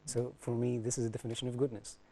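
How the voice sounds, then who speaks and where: A man, a panel guest speaking softly and hesitantly in English. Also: mid-range voice, level tone, very fast. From Egypt. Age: 30s.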